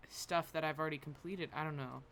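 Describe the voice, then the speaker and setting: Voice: mid-range voice, fairly even intonation, brisk, a bit bright, a little hiss or hum. A game streamer chatting casually and rambling while thinking aloud on a live stream.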